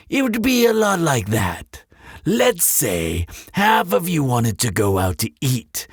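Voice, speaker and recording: Man playing a slow, silky, slyly courteous demon concierge. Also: on the high side, creaky, husky, no echo, studio quality, exaggerated voice. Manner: unhurried, polite, coaxing salesmanship. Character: smooth-talking male demon hotel receptionist, courteous and slyly persuasive. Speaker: resort front-desk clerk. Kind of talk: scripted audio roleplay addressed to the listener.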